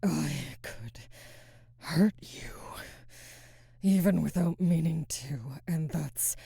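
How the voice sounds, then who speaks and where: A woman playing a conflicted, protective alpha werewolf in an intimate ASMR roleplay, husky and halting, often slipping into a whisper. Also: low voice, warm tone, no echo, studio quality, full character voice. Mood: pleading, conflicted. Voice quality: husky, breathy, frequently dropping to a whisper. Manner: halting, hesitant, sighing. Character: adult female alpha werewolf, conflicted, protective, barely restrained.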